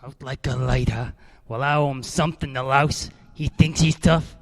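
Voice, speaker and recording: A man playing a gravelly, sneering young thug. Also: mid-range voice, fairly even intonation, moderate pace, lightly acted. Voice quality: sneering, tough. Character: young, surly male thug gunman.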